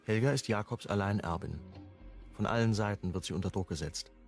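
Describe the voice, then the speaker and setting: An actor playing a sober first-person narrator in a crime radio drama, restrained, in short sentences. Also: male voice, fairly low pitch, fairly quick, soft background music, natural voice. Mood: sober, recounting.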